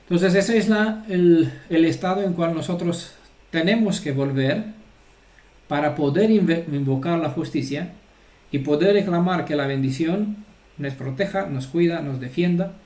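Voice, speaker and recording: A man's voice: a little high, unhurried, darkish tone, roomy sound. A man giving an informal, earnest religious teaching and often checking that his listeners follow. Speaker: Torah teacher.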